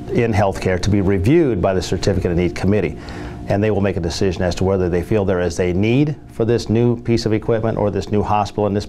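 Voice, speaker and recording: Man, low-pitched voice, measured formal delivery, persuasive public address, explanatory, slight background noise. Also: darkish tone. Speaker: hospital representative giving a public address.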